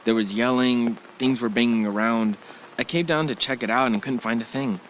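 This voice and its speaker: A man's voice: mid-range voice, normal speed, a little hiss or hum, natural voice, poor audio. A man playing a wary, reluctant partner in an audio drama, in an everyday voice. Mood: insistent. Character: wary, reluctant male partner of an adventurous woman.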